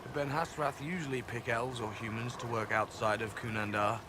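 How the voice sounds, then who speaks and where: A man's voice: mid-range voice, fairly even intonation, a little fast, natural voice. A man playing a guarded elf spy and former slave in a video game, speaking dryly and earnestly. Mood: matter-of-fact. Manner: dry, matter-of-fact, quietly earnest. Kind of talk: video game cutscene acting. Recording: game capture with loud ambient background noise.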